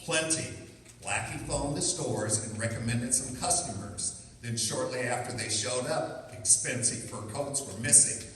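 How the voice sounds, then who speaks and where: A man's voice: medium pitch, measured pace, a bit bright, natural voice. Echoey, pushy police inspector played by a man. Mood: stern, sardonic. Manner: brusque, insistent, wry. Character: pushy, suspicious, sardonic male police inspector. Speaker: amateur radio theatre actor. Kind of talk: old-time radio detective drama acting. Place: live stage performance in a large room. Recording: very echoey hall from far off, faint noise.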